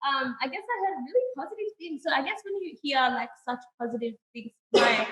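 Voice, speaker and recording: High-voiced young woman, echoey room. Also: a little fast. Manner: animated, laughing, thinks aloud with fillers. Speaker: co-host. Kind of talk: casual chat playing a card game.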